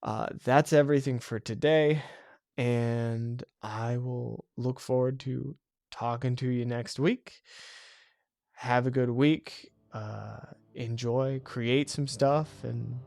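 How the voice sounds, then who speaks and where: A man's voice: medium pitch, fairly even intonation, slow pace, gravelly, a little mellow, no room sound, studio quality. A man hosting his own podcast, thinking aloud in a reflective way, a little stuffy from allergies. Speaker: podcast host, game developer. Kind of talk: solo podcast monologue.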